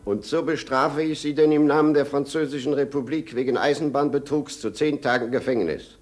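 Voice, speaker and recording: An actor playing a stern, ceremonious judge in a radio play, formal and declamatory. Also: male voice, mid-range voice, steady tone, fairly quick, music in the background, lightly acted. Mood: stern, pronouncing. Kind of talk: German radio play acting.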